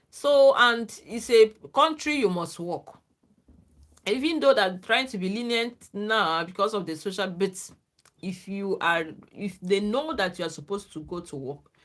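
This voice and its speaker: A woman's voice: mid-range voice, a bit bright. A woman, not a native English speaker, hosting a livestream and giving earnest, casual advice to viewers, often asking "okay?" to check they follow. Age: adult, former lecturer. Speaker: livestream host.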